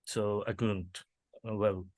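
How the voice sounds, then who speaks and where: A man's voice: fairly low pitch, little pitch movement, takes it slowly, a little mellow, no room sound. A man from Bangladesh, making points on a workshop video call and often hesitating and restarting. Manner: hesitant, many fillers and restarts. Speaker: workshop participant.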